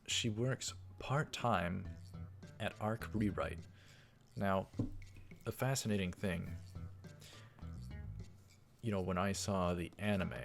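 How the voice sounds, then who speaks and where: A man hosting a let's play, reading visual novel text aloud with halting delivery and casual commentary. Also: sits low, vocal fry. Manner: halting, repeats words, interjects mild exclamations.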